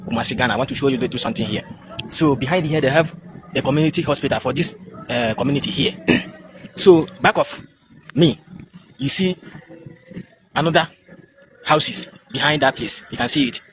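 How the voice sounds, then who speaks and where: A Nigerian man with an Igbo first language vlogs outdoors on his smartphone. He talks fast and rambles, with a defiant edge, at a middle pitch. Faint ambient noise sits behind him. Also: a bit bright, rough recording. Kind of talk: phone vlog.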